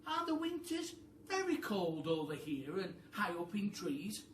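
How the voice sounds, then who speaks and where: A man voicing an excitable, anxious monkey father in a children's story, exclaiming and questioning. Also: high-pitched, measured pace, voice pushed up, heavily acted. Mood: curious, worried. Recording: roomy, noisy, distant microphone.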